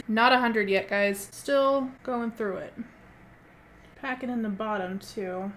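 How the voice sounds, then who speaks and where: A woman beauty vlogger, hoarse from a cold, chatting casually and rambling with many ums while she does her makeup at home. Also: fairly high pitch, lively intonation, slow pace, a little hiss or hum, low-quality recording. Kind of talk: casual makeup tutorial chat.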